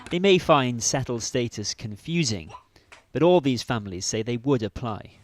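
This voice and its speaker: A woman reporter reading scripted news narration in a measured, clear delivery. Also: a bass voice, lively intonation, slight background noise.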